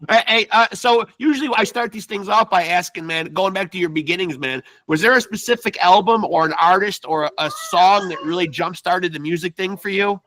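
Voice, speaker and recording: Man, podcast host, casual, keeps saying "man", bright tense voice, dry mic. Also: high-pitched, a little fast, hardly any pauses, no echo, rough recording. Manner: laid-back, says "man" constantly. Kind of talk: casual interview chat.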